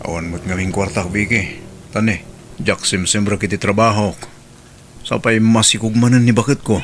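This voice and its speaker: A man playing a relative airing family grievances in an expressive Filipino radio drama style. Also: lowish voice, moderate pace, slight background noise, natural voice. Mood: grumbling. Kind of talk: Ilocano radio drama acting.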